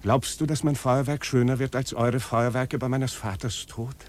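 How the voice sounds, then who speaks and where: Proud, brooding prince, declaiming solemnly played by an actor. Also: male voice, medium pitch, fairly even intonation, moderate pace, a little mellow, lightly acted. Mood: fervent, brooding. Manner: declamatory, intense, lyrical questioning. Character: proud doomed prince, brooding and solemn, addressing his servant. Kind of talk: 1950s German radio drama acting, poetic monologue.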